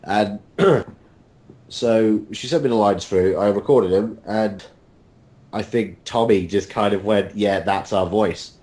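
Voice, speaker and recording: Low-voiced man chatting casually, a bit halting. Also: a little mellow. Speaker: voice actor guest. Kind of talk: casual chat.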